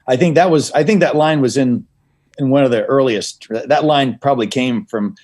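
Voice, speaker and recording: A middle-aged man talking at an ordinary pitch, circling back on his sentences and restarting them often. He delivers his jokes dry, with a wry, unhurried feel. It sounds like a relaxed podcast interview. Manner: rambling, self-interrupting, dry humor. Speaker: guest comedy writer and actor.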